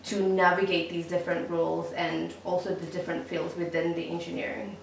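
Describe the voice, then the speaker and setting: A woman's voice: lowish voice, little pitch movement, creaky. Woman interviewer in a very echoey room. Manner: measured, encouraging listener with frequent mm-hmms. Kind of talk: interview hosting. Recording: echoey room, distant mic, faint noise.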